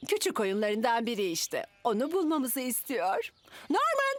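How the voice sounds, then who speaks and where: A woman's voice: fairly high pitch, huge pitch swings, unhurried, soft background music. A woman voicing a bossy, doting mother in a children's cartoon, coaxing and fussy. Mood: eager. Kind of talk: animated children's series dub.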